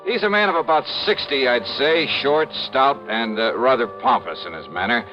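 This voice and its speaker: An American actor playing a wry, confident insurance investigator in a 1950s radio detective drama, with a dry delivery. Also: male voice, mid-range voice, expressive, normal speed, close to an everyday voice. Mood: wry narration. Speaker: lead actor and narrator. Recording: muffled old radio recording, music behind.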